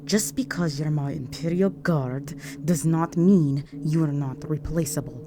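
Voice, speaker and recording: A woman voicing an imperious, seductive vampire countess in a scripted audio roleplay, poised, commanding and softly menacing. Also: low voice, measured pace, noisy background. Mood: cold, menacing.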